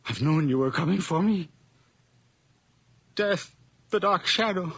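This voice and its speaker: A husky, frightened old widower. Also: male voice, sits high, lively intonation, measured pace, a bit bright, dry recording, exaggerated voice. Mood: terrified. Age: older. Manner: brooding and weary, breaking into frightened cries. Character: frail, fearful old widower awake at night. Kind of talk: 1980s radio drama acting.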